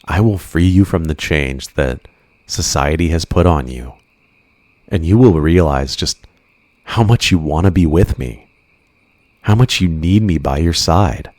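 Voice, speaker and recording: A man playing an obsessive, possessive villain in an ASMR roleplay, speaking with deliberate, intimate menace and coaxing endearments. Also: extremely low pitch, expressive, darkish tone, dry recording, full character voice. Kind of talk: ASMR roleplay, scripted monologue.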